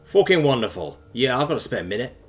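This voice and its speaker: A man voicing a gruff, guarded military commander of a space colony, curt and profane, in an audiobook. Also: mid-range voice, big pitch swings, fast talker, natural voice. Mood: sarcastic.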